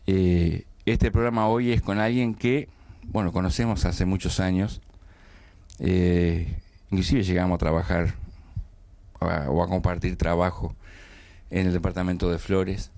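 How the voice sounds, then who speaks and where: A man's voice: low-pitched, little pitch movement, unhurried, a little mellow, no echo. A man interviewing a guest in a small studio, hesitant and full of fillers and restarts. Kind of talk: conversational TV interview.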